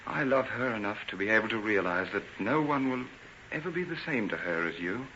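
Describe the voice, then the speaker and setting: An actor playing an earnest, honourable gentleman and rival in love, speaking directly in a theatrical old-time radio style. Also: fairly low pitch, lively intonation, fairly quick. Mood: softened, sincere. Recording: dull, noisy old radio recording.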